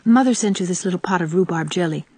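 A woman voicing a lively, pleasant, frank young country woman in an audiobook. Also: lowish voice, quick pace, slightly rough, dry recording. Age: young adult. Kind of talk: audiobook character voice.

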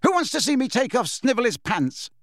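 A man acts out a cocky teenage boy for an audiobook. The voice is pushed high and leaps about in pitch, brash and taunting, full of swagger. The sound is close and dry. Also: very animated, slow pace, dry recording, exaggerated voice. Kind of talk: dramatic audiobook character voice.

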